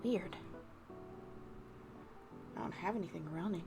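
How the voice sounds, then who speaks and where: A woman livestreamer chatting casually to her viewers, musing off the cuff with pauses between thoughts. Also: fairly low pitch, gravelly, music in the background. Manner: unscripted musing, addressing chat, with pauses. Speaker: VTuber livestreamer.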